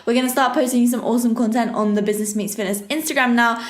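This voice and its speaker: A woman, hosting a podcast with an upbeat, energetic delivery. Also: fairly high pitch, quick pace, reverberant. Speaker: podcast host. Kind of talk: podcast intro and interview.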